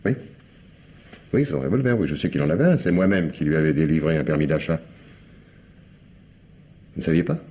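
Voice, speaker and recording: An actor playing a shrewd, suspicious police commissioner in an old French radio mystery, questioning and musing aloud with pointed pauses. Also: male voice, a bass voice, dark tone, husky. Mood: probing. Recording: noisy old radio recording with ambience.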